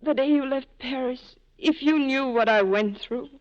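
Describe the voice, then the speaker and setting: High-voiced desperate woman, muffled old radio actress. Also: moderate pace, natural voice. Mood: anguished. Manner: earnest, dramatic pleading. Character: desperate, torn woman pleading with former lover. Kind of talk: 1940s radio drama acting.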